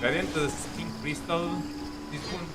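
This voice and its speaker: A man streaming a video game with casual, rambling commentary, halting and jokey, often repeating his words. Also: fairly high pitch, steady tone. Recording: game music behind the voice. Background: game music.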